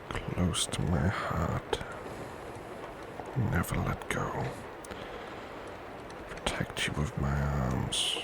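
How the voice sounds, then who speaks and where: Man playing a deep, gravelly, gentle burly butler. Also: very noisy, heavily acted. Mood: tender, protective. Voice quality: breathy, whispery murmur. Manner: polite, formal, tender, reassuring. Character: big burly devoted male butler, gentle and protective. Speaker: ASMR roleplay voice actor. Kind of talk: ASMR roleplay, soft intimate murmuring.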